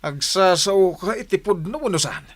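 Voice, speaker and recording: A man's voice: sits high, animated, unhurried. A man playing a jealous, hot-tempered village husband who accuses and confronts, in a radio drama. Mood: furious, accusing. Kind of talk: Ilocano radio drama acting.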